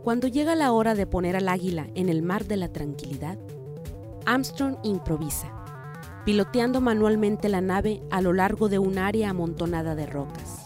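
A woman's voice: mid-range voice, animated, moderate pace, music in the background. A woman reading scripted documentary narration as the narrator.

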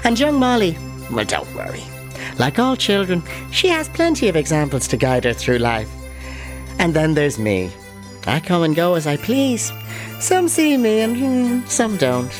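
Radio comedy horror: amiable, tipsy old Irish puka rabbit, rambling and repeating himself, very lively intonation. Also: male voice, high voice, unhurried, music in the background. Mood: wry storytelling. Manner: hesitant, rambling, repeats words. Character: tipsy, amiable old man turned giant rabbit spirit. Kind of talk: comic horror radio play acting.

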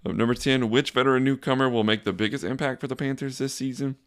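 A young man hosting a casual solo sports podcast, talking in a flat, monotone, rambling way. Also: medium pitch, quick pace. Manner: monotone, says so himself; relaxed, rambling with fillers and restarts. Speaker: podcast host.

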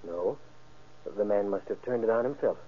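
An actor playing a shaken, wounded husband in an old-time radio crime drama, speaking haltingly and earnestly. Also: male voice, lowish voice, close to an everyday voice. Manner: halting, earnest witness account. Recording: muffled, band-limited vintage radio recording.

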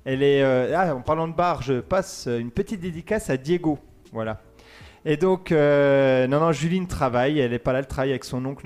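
A man's voice: on the high side, a little mellow. A man hosting a casual student radio show, chatty and joking as he teases his guests. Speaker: host.

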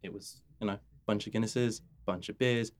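A man's voice: fairly low pitch, fairly even intonation, moderate pace. A man in a casual chat, laid-back with wry jokes.

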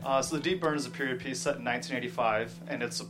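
A man, a screenwriter guest, explaining his script in casual interview talk, a little halting. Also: medium pitch, fairly even intonation, music in the background, poor audio.

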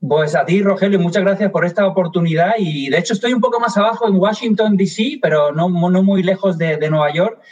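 A man's voice: sits high, brisk, few pauses, a little mellow. A man from Spain with a Spanish accent, answering interview questions over a video call in a friendly, joking way. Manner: friendly, joking, then explanatory. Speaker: guest expert.

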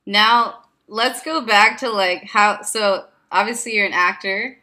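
Woman interviewer, bright voice, curious, lots of "right", casual chat. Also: mid-range voice, takes it slowly. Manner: curious, with frequent backchannel "right".

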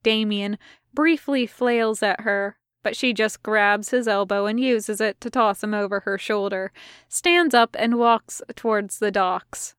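Female fiction narrator, very clear voice, slow measured pace, wry, dry close sound. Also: medium pitch, fairly even intonation, fairly bright tone, no echo. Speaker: podfic reader. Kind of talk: scripted story narration.

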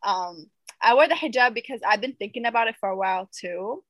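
A woman's voice: on the high side, animated, brisk, fairly bright tone, dry recording. A young woman co-hosting a casual chat, talking with lots of fillers and laughing easily. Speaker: podcast co-host.

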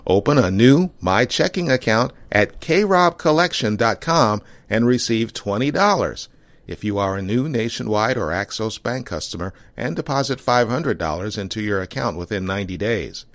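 A man's voice: on the low side, animated, normal speed, no room sound. A man delivering a scripted advertising read as a programme announcer. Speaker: podcast host and ad reader. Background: faint music.

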